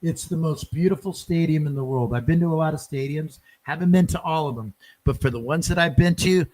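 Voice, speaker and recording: Man, fairly high voice, podcast host, casual solo fan chat, enthusiastic, informal. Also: brisk, a little mellow, poor audio.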